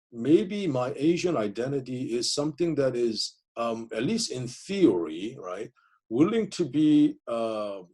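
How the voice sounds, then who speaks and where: A man's voice: mid-range voice, a little mellow, breathy edge. A middle-aged man whose first language is Korean, answering interview questions reflectively, with many fillers and restarts. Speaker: interviewee, divinity school professor.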